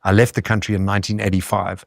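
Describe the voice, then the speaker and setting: A man's voice: deep voice, flat delivery, quick pace, vocal fry, dry recording, studio quality. A South African man in his sixties, a guest telling stories bluntly in a casual interview. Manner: blunt, expansive storyteller, swears freely.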